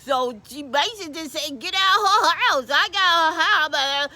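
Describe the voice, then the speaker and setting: A woman's voice: very high voice, expressive, normal speed, pushed and bright, no room sound, pitched above the performer's own voice, exaggerated voice. A woman voicing an earnest, simple, pious male deacon with an American accent, plaintive and repetitive in folksy dialect, in a solo comedy skit. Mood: pleading. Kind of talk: solo character skit monologue.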